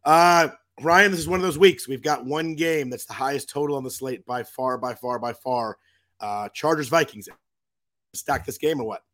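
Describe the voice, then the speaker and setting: Hoarse man hosting a sports podcast. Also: mid-range voice, normal speed, fairly bright tone. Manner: chatty, lots of fillers and restarts. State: hoarse from a cold. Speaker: podcast host. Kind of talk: casual sports chat.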